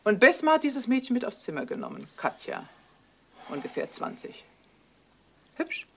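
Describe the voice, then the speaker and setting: An actress playing a bitter, suspicious middle-aged wife in a German crime radio play, asking pointed, sarcastic questions. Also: female voice, a little high, wildly swooping pitch, normal speed, a bit bright, a little hiss or hum, heavily acted. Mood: scornful, probing.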